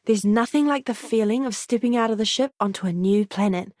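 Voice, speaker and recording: A woman's voice: on the high side, brisk, a little mellow, no echo, clean studio sound, close to an everyday voice. A woman playing an earnest, friendly young space medic, a companion character in a video game. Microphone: close studio mic, dry.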